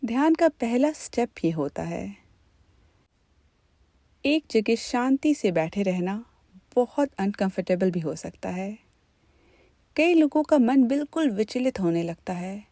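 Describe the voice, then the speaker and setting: A woman leading a guided meditation as an instructor, in a calm, gentle, unhurried manner. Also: mid-range voice, no room sound.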